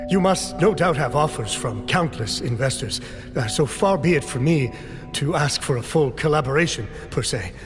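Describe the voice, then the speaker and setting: A man's voice: on the high side, lively intonation, moderate pace, lightly acted. A man playing a polite, hedging investor. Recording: reverb with music.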